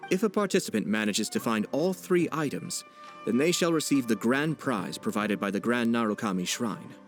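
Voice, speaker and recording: An actor playing a poised young nobleman in a video game, speaking in a formal, measured, politely wry way. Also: mid-range voice, lively intonation, lightly acted. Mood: calm, courteous. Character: poised young nobleman, courteous, composed and subtly teasing. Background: background music.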